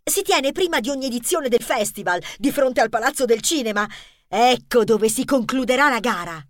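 A woman playing a confident, sharp, adventurous mouse in a children's audio story, with dramatic, assured delivery. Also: a little high, expressive, moderate pace, dry recording, clean studio sound, close to an everyday voice.

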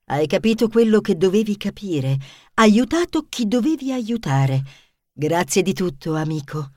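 A woman voicing a wise, mysterious fox who speaks softly and low. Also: sing-song, a little slow, dry recording, studio quality. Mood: wistful. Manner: soft, low and enigmatic.

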